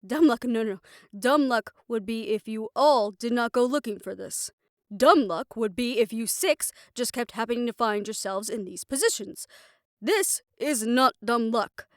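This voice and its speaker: A woman's voice: fairly high pitch, dry recording, studio quality, close to an everyday voice. Woman acting an exasperated, stern, protective guardian woman. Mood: exasperated scolding. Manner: stern, commanding, emphatic. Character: exasperated, protective woman scolding her six reckless young charges. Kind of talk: scripted audio roleplay drama acting.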